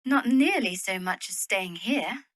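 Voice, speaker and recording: An actress playing a brisk, genteel and determined young widow who manages her family, in a period drama. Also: medium pitch, expressive, fairly bright tone, no room sound. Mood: brisk, decided. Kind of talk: period literary radio drama acting.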